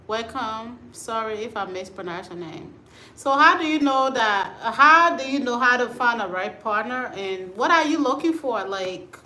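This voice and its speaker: A chatty woman from Liberia hosts a casual livestream and answers her viewers' comments at an unhurried pace. Her voice is bright and forceful, and it rings in an echoey room. Faint rain noise sits underneath. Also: fairly high pitch. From Liberia, West Africa. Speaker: livestream host. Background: storm and rain outside.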